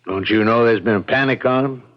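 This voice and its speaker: A man's voice: medium pitch, brisk, lightly acted. A man playing a guarded, plainspoken frontier townsman in an old radio mystery, answering reluctantly. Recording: dull, band-limited old radio recording.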